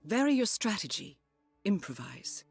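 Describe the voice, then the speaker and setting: An actress playing a composed, loyal agency handler in a video game, with a formal, measured delivery. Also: female voice, medium pitch, very animated, vocal fry, over loud music. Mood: composed, instructive. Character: composed, loyal female agency handler briefing an assassin recruit. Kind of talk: scripted video game cutscene acting, mission briefing.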